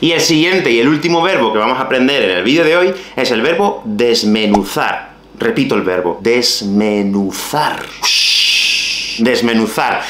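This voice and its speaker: A man in his thirties with a Spain Spanish accent, teaching Spanish vocabulary to camera in a lively, playful way. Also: medium pitch, normal speed, roomy sound, slight background noise. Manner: lively, expressive, playful asides. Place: small bright room at home.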